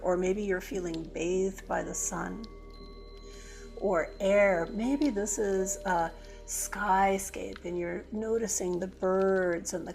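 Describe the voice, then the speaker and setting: Female meditation guide, gentle and very unhurried, creaky low-effort delivery with pauses, faint music underneath. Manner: gentle, unhurried, pausing between phrases. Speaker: yoga meditation teacher.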